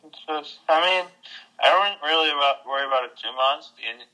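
A man's voice: on the high side, brisk, bright, forceful tone, rough recording. A young man co-hosting a casual sports podcast, giving matter-of-fact game updates. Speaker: podcast co-host.

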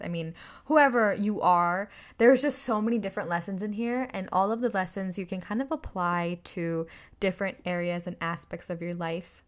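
A young woman hosting her own podcast, chatting enthusiastically about a book with a slightly raspy voice from screaming. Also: lowish voice, a bit bright. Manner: enthusiastic, chatty, lots of fillers. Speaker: podcast host. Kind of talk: casual solo podcast book review.

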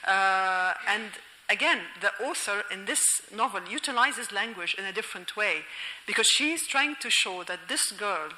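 A bright, sharp-toned woman from Egypt gives a university lecture, thinking aloud with frequent fillers and restarts. Her voice carries a long reverb, as if from a hall. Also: mid-range voice, lively intonation, normal speed. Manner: thoughtful, many fillers and restarts, laughing asides. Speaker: lecturer and novelist.

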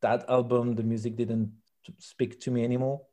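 A relaxed, mellow-voiced man with a French accent speaks as an interviewed musician. He picks his way through English haltingly, often repeating words as he searches for the next one. His pitch sits in an ordinary male range. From France. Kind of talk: conversational interview answers.

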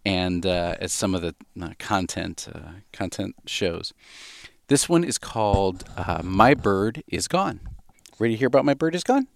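A man hosting a casual bedtime story show for a baby, with gentle child-directed asides, speaking into a headset mic in a studio. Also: low-pitched, lively intonation, a little slow, creaky. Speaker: host reading stories to a baby.

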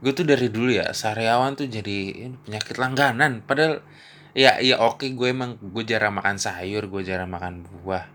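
A man's voice: fairly low pitch, steady tone, moderate pace, a bit bright. A young man hosting a casual solo podcast, rambling and slangy, recording on a phone while nursing a mouth ulcer. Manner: slangy, rambling, laughs often. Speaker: podcast host.

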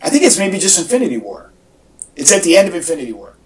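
A man's voice: fairly high pitch, huge pitch swings, fast talker, recorded from a distance, slight background noise. A man co-hosting a casual fan podcast, talking in an enthusiastic, rambling way. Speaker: podcast co-host. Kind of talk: casual fan chat about superhero movies. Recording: echoey, off-mic home podcast audio.

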